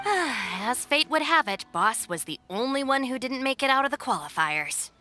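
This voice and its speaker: A woman's voice: sits high, big pitch swings, normal speed, over music. A woman voicing a sensible, exasperated young gang deputy in a video game, dry and matter-of-fact. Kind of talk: animated video game dub acting.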